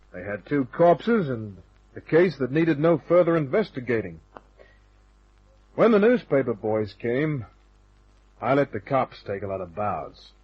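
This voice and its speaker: Hardboiled radio detective, dull vintage recording. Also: male voice, mid-range voice, breathy edge, no room sound. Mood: wry, satisfied. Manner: wry, clipped, hardboiled. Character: wisecracking, tough male hardboiled detective hero telling his story. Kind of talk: old-time radio crime drama narration and dialogue.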